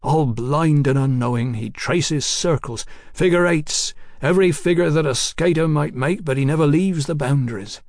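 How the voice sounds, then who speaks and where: A man voicing a drunk, earnest, educated gentleman who broods and pontificates in an audiobook story. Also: on the high side, moderate pace, few pauses, no room sound, clean studio sound, pitched above the performer's own voice, lightly acted. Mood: intense, rambling.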